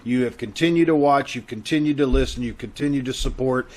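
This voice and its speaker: A man with a medium-pitched voice acts as host for a radio troupe. He talks casually and directly to the audience, as in a live introduction to a show. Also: a little fast, darkish tone.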